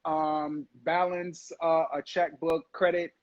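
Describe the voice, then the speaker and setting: A man from Jamaica, a guest in a relaxed chat on a live video call, who repeats words and often says "facts". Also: a little high, slow pace. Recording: dull lo-fi call audio.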